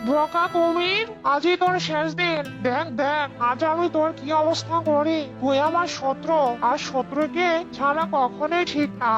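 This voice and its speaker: A woman's voice: high-pitched, moderate pace, natural voice. A woman voicing a cunning, mocking fox in a children's cartoon story, with a sly, taunting manner. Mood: vengeful. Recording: muffled audio under loud music.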